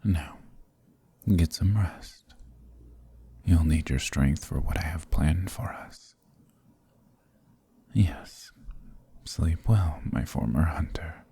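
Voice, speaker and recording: A man playing a centuries-old, possessive and sadistic vampire lord in an intimate ASMR roleplay, husky and often whispering, with a commanding, condescendingly tender manner. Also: rumbling low, takes it slowly, long pauses, dark tone, full character voice. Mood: possessively soothing.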